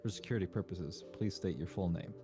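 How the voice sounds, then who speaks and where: A man's voice: sits low, fast talker, over music, lightly acted. A man reading the part of a woman interviewer who runs a recorded test session. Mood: clinical. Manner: flat, unacted script reading.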